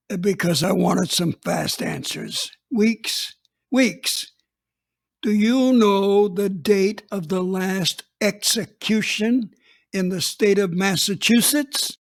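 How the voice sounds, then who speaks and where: An actor playing a blunt, unapologetic retired police chief in his eighties, recounting a case in a radio drama. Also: sits high. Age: 80s. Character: gruff old male retired police chief.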